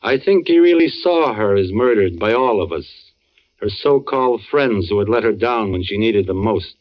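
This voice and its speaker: Dull old radio sound, actor doing serious knowing guy, fairly low voice, dramatic and measured. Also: animated, close to an everyday voice. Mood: grave. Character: earnest male family friend, somber and knowing. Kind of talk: 1940s radio drama acting. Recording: muffled old radio recording.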